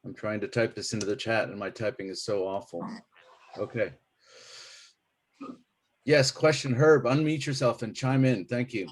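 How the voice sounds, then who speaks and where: A man's voice: on the low side, moderate pace, pauses often, breathy edge. A man moderating a panel over a video call, with brief, encouraging interjections.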